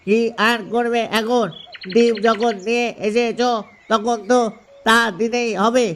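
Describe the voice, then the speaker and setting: Guy doing a worrying duck dad for a cartoon, squeaky high, pretty monotone. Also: measured pace, slight background noise. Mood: grumbling. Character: worried, grumbling father duck, cautious husband. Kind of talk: cartoon voice acting.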